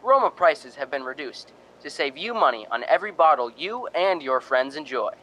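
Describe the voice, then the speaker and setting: A man's voice: a little high, sing-song, moderate pace, bright, forceful tone, a little hiss or hum, poor audio. A man announcing a radio suspense show and its sponsor in an emphatic, old-time broadcast style.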